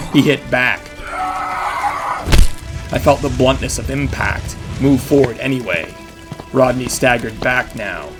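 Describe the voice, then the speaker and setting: A man's voice: mid-range voice, natural voice. An actor playing a battered vigilante who narrates his own story in intense, clipped phrases, in the style of an audio drama. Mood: intense, brutal. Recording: music behind the voice. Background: dramatic music.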